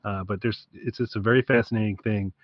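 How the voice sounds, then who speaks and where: A man's voice: lowish voice, steady tone, fairly quick, no room sound, muffled recording. A man co-hosting a podcast, chatting casually with an amused, wry, conversational manner. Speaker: podcast co-host.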